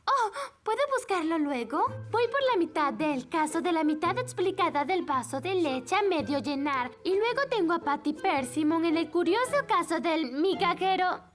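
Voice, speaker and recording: A woman playing a sweet, gentle young girl in a cartoon dub. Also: extremely high pitch, animated, moderate pace, few pauses, faint music behind. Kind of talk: animated cartoon dub acting.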